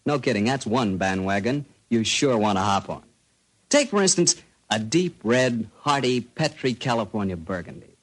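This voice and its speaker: An American man announces in 1940s radio style. His medium-pitched voice moves with big, lively inflection, selling in a folksy, conversational way. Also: moderate pace, rough recording.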